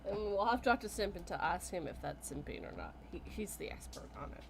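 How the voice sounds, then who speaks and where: A woman's voice: medium pitch, animated, a little fast, gravelly, a little hiss or hum. A woman guesting on a casual podcast chat, laughing often as she talks. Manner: laughs often while talking.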